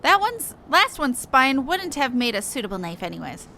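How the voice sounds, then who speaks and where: Woman voicing a pompous, bright little moogle hero. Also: sits high, very animated, normal speed, a little hiss or hum, heavily acted. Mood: insistent. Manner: pompous, florid heroic speech. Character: boastful little male moogle adventurer, gallant and eager helper. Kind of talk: reading game dialogue in character.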